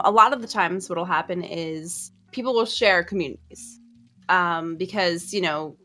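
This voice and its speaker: A woman's voice: mid-range voice, sharp tone. A woman guest on a podcast, chatty and earnest, sharing advice with many ums and likes. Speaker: streamer guest. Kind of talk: casual advice podcast chat.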